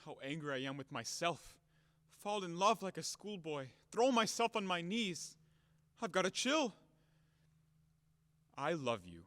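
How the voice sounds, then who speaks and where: A man acting a blustering, hot-headed gentleman landowner in an audio play, melodramatic and declamatory, wildly in love. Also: high voice, very animated, measured pace, pauses often, exaggerated voice. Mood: bitter, self-reproaching. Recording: long hall reverb, sometimes off-mic.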